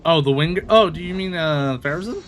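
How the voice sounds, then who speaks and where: A man doing casual live gameplay commentary in a playful, expressive way. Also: a little high, brisk, slight background noise. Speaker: game streamer. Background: music.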